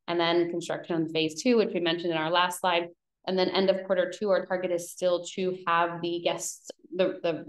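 A roomy, echoing webcam recording of a woman with a slightly low voice. She talks through slides as a real estate presenter, explaining in an informal, conversational way. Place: indoor room, video call. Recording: roomy echo on webcam call.

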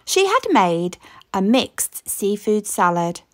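A woman reading a children's story aloud with expressive, deliberate, dramatic narration. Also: mid-range voice, no room sound, clean studio sound. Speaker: storybook reader.

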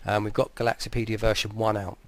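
A man's voice: on the low side, level tone, fast. A man casually demonstrating a product, giving spoken commentary and short voice commands.

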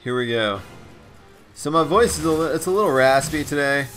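A man hosting a let's play, commenting on gameplay in an excitable, enthusiastic way, his voice raspy and a bit stuffed up. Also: a little high, normal speed, faint music behind.

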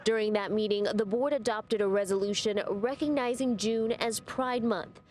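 A young woman reporting live at night from an outdoor lot with a handheld mic, in a crisp, scripted manner. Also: medium pitch, fairly even intonation, moderate pace, darkish tone, slight background noise. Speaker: field reporter.

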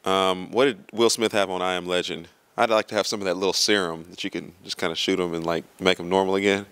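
A man's voice: low-pitched, steady tone, fast talker, a bit bright, clean tone. A man in his thirties answering interview questions with playful jokes and laughing asides, into a handheld mic in an indoor church hall. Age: 30s. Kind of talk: casual joking answers. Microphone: handheld mic held by interviewer.

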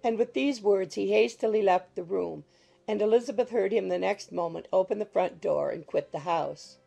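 Very creaky, mellow woman narrator. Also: medium pitch, normal speed, faint music behind. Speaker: audiobook narrator. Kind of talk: scripted literary narration.

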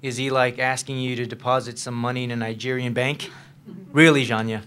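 A man's voice: mid-range voice, flat delivery, brisk, a bit bright, close to an everyday voice. An actor playing a troubled, wry young man in a dramatic novel reading, hesitant and understated. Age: young adult. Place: indoor stage at a public reading. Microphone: microphone on a stand. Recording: noisy live stage recording with hum.

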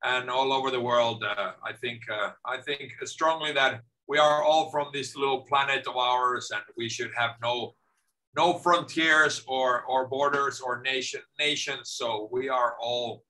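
Bright-voiced man from Finland. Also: mid-range voice, unhurried, rough recording. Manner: lively, repeats words when starting sentences. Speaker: guest. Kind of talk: casual video-call interview. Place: home office. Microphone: video call.

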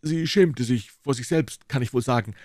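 A man's voice: medium pitch, normal speed, a little mellow, dry recording, clean studio sound, voice pushed up, natural voice. A stout, red-faced constable, as a male reader puts him on speaks in a raised, anxious voice. He pleads and explains himself over and over, eager to be believed. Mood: explaining. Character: stout, flustered, apologetic male police constable. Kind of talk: audiobook character voice.

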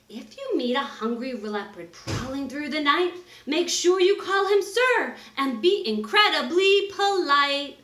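A middle-aged woman reading a children's picture book aloud in an exaggerated, expressive way, with drawn-out words. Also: high voice. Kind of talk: animated children's story read-aloud. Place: small wood-paneled room indoors. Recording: roomy, echoing indoor recording.

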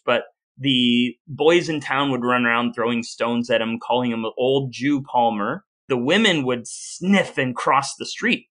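A man's voice: medium pitch, a bit bright. A man co-hosting a podcast, telling history informally and reading out quoted accounts. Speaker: podcast co-host.

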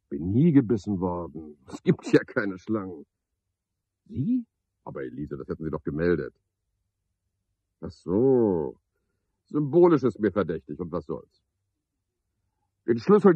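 Smug cynical night caretaker in an old german radio play, swoops up and down a lot, raspy, pompous. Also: male voice, mid-range voice, dry recording, full character voice. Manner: pompous, sardonic, theatrical one-sided conversation. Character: cynical, self-satisfied, talkative male night caretaker. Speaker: radio drama actor. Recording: slightly dull 1970s radio recording.

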